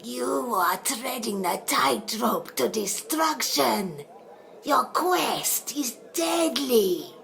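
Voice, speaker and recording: Spooky veiled prophet lady, super slow and croaky, breathy cryptic warnings, radio drama. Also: fairly high pitch, expressive, a bit bright, heavily acted. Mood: ominous. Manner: cryptic, portentous, archaic formal phrasing. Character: veiled mysterious woman uttering ominous prophetic warnings. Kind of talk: audio drama acting.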